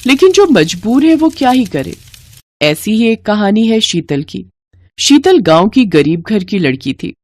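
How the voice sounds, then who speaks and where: A woman storyteller reading a scripted bedtime moral tale in an expressive narrating style. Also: mid-range voice, unhurried.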